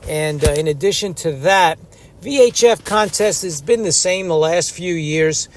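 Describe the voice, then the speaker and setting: A man with a slightly high voice talks casually as a ham radio vlogger. His delivery is informal and explanatory, and there is faint noise behind him. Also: measured pace. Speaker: amateur radio vlogger and operator. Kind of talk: casual video narration and radio contest operating.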